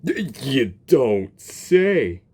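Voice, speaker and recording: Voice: mid-range voice, big pitch swings, extremely slow, warm tone. A video game streamer giving casual reaction commentary, with slangy, exasperated interjections, close to the microphone.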